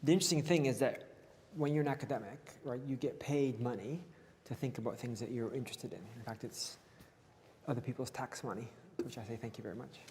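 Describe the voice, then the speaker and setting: Quick-talking man in a reverberant room. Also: medium pitch, a little mellow, a little hiss or hum. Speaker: interview guest, physicist. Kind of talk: conversational interview.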